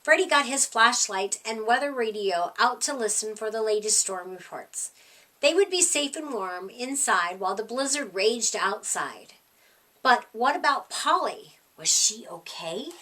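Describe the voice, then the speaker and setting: Bright, high-voiced woman reading a storybook. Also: unhurried, far from the mic. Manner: animated, emphatic delivery for young listeners. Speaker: storyteller reading a children's picture book. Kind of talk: expressive read-aloud storytime narration.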